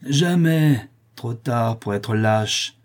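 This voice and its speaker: Audiobook villainess: savage, scornful condemned widow, low, husky and dark, read by a man. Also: normal speed, natural voice. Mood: scornful fury. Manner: cold fury, savage irony, scornful. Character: fierce, sneering, vengeful condemned widow, a criminal mother.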